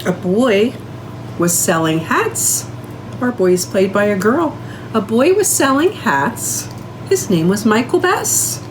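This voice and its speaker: A woman's voice: medium pitch, sing-song, slow, background noise. A woman reading a picture book aloud to young children as a librarian, in a sing-song way, prompting them with questions and counting.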